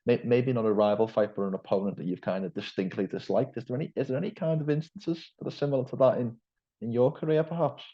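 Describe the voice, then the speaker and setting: A man's voice: mid-range voice, fast, lo-fi sound. A man with a Liverpool accent interviewing a guest with long, hedging questions. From England. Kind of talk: interview over a call.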